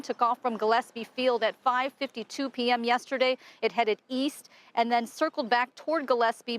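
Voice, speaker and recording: A woman with an American accent giving a live TV news report as a field reporter. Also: a little high. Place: outdoors on a residential street.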